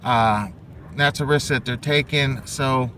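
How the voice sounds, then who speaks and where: A man giving an unscripted investing opinion in a halting, thinking-aloud way, as an amateur commentator. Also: mid-range voice, fairly bright tone. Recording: clearly noisy, vehicle and outdoor-like background.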